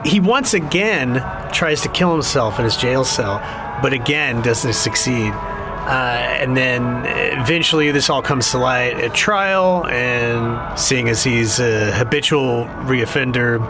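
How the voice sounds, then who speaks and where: A disillusioned younger brother in an audio drama. He is sardonic and defensive, with a dry, halting, slangy delivery in a middle register. Music is clearly audible behind him. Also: medium pitch. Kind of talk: naturalistic audio drama dialogue.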